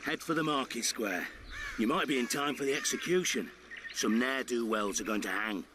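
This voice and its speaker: An actor as a suspicious, curt town guard. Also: male voice, medium pitch, animated, normal speed, background noise, close to an everyday voice. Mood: suspicious, blunt. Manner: curt, skeptical. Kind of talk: video game voice acting.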